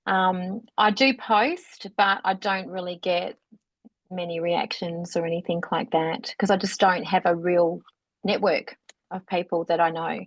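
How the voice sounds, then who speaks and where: A woman introducing herself on a video call, halting and apologetic with many pauses and ums. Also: fairly low pitch, steady tone, dry recording, rough recording. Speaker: solo business owner.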